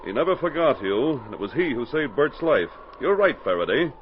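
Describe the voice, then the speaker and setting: A man acts out a steadfast northern police sergeant from a 1940s radio adventure. The delivery is firm and commanding, with very animated pitch swings. The recording is dull and noisy. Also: a little fast, close to an everyday voice. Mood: firm, resolute. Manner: firm, commanding, clearly enunciated. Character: steadfast male police sergeant of the frozen Yukon. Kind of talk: 1940s radio drama acting.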